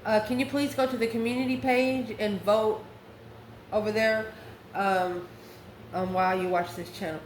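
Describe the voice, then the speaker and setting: In an echoey room with clear background noise, a woman talks her way through a tarot reading as the host. She speaks at a middle pitch in a chatty, informal way, drifting into asides as she goes. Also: steady tone, measured pace. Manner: chatty, informal, chuckles often, rambling asides. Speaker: tarot reader and channel host. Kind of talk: casual, conversational tarot reading.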